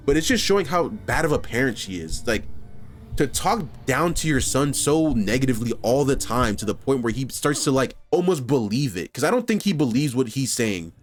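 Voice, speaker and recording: A man from New York hosting reaction commentary, casual and heartfelt, full of "like" and swearing. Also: medium pitch. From New York, USA. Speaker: commentary channel host. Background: music.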